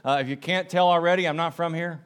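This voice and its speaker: A man with a Southern American accent from South Carolina, preaching to a congregation. His voice sits a little higher than most men's. He talks in an energetic, chatty way and pokes fun at himself. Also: quick pace, smooth. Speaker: pastor. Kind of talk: sermon.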